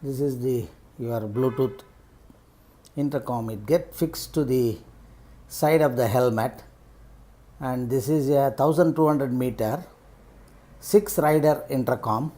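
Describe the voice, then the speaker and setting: A middle-aged man with an Indian accent and a mid-range voice presents a product unboxing. He speaks off the cuff as a vlogger, setting out his points piece by piece in halting phrases. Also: mid-range voice, mellow. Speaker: vlogger reviewing rider gear. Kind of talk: unscripted unboxing commentary.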